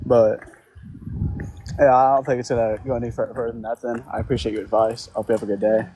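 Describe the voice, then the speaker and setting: A man calling in while out on a walk, halting and defensive as he explains himself. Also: medium pitch, level tone, warm tone. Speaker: caller. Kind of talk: call-in conversation. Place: outdoors on a walk. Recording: faint noise from a poor phone connection.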